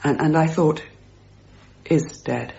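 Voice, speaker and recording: An actress playing a rational, brittle grieving mother in a naturalistic radio drama, speaking in halting, repeated, self-correcting phrases. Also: low-pitched, fairly even intonation, vocal fry, dark tone, dull audio, exaggerated voice. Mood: numb, grieving.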